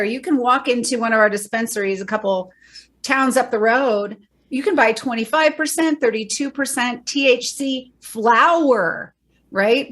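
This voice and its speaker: A woman's voice: fairly high pitch, fairly bright tone. A middle-aged woman, a bereaved mother and educator, explaining earnestly in a podcast interview and rattling off lists of facts.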